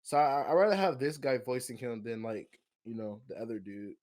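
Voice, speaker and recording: A man's voice: medium pitch, fairly quick. Slangy man chatting about movies. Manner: slangy, conversational, animated opinions. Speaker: podcast co-host. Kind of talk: casual movie discussion chat.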